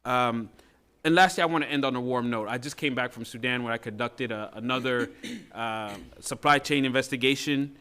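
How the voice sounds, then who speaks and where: Male expert witness, mid-pitched, halting read with many fillers. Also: pushed and bright, clear, resonant tone. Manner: reads from notes with frequent uhs and restarts. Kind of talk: prepared testimony.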